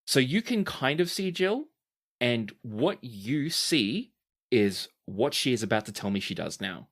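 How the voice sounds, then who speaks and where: A man running a tabletop game as game master, narrating the scene and asking for dice rolls. His voice is fairly low and swings widely in pitch, so his storytelling sounds lively. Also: a little slow, slightly rough.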